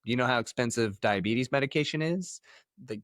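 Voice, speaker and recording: A man's voice: medium pitch, lively intonation, moderate pace, fairly bright tone, no echo, clean studio sound. An American man hosting a conversational podcast interview, speaking in an emphatic, anecdotal way. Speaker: podcast host, health coach.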